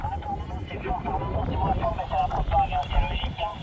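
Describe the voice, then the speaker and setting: A man talks over a very noisy, dull CB radio link. His voice comes through very high and jumpy as he chats casually, repeating himself. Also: wildly swooping pitch, fairly quick. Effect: heard over a CB radio link. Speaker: amateur CB radio operator.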